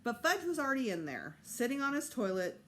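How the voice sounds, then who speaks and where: A woman teacher giving an expressive read-aloud of a children's story, with emphatic delivery. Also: sits high, brisk, slight background noise. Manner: animated, acts out dialogue with emphasis. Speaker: teacher reading a children's novel to students.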